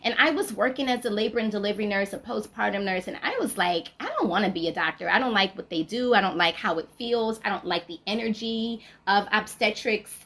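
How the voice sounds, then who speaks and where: A woman's voice: mid-range voice, brisk, few pauses, a bit bright. Chatty middle-aged New woman. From New Orleans, USA. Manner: chatty and storytelling, with frequent ums and "right?". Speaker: podcast guest, midwife and founder. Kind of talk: casual interview chat.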